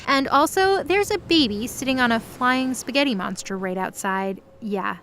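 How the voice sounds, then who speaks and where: A woman's voice: on the high side, normal speed, fairly bright tone, slight background noise. A woman narrating a scripted present-tense mythology drama.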